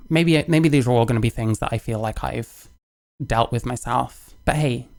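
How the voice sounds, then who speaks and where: A man speaking casually and candidly, rambling and self-deprecating, laughing and swearing as he reacts to a clip. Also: medium pitch. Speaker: youtuber reacting to another creator's video.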